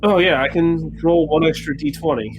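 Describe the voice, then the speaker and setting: A man's voice: on the high side, normal speed. A man chatting casually as a player at a tabletop roleplaying game. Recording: music bed behind the voice.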